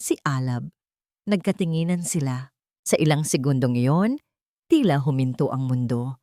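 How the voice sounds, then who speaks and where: A woman with a Filipino accent reading a dramatic romance story in a measured, solemn way. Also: extremely low pitch, animated, dark tone, no echo, clean studio sound. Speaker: story narrator.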